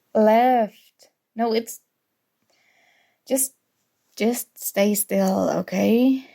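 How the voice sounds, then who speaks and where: A woman playing a flustered, teasing young student rival in an intimate ASMR roleplay, soft-spoken, mock-annoyed and hesitant. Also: medium pitch, creaky, full character voice. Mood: fussing, teasing.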